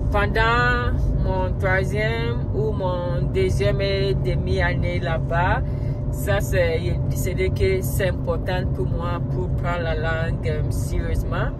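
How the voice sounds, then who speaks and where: Slow, hesitant woman with loud traffic. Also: fairly low pitch. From Ghana. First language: Akan. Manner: hesitant, searching for words, many fillers. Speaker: vlogger learning French. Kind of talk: casual video diary.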